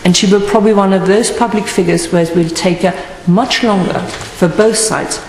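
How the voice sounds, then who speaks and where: A woman's voice: medium pitch, steady tone, normal speed, darkish tone. Woman speaking in an echoey chamber. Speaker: member of parliament. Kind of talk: parliamentary tribute speech. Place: large debating chamber. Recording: echoey hall, distant mic.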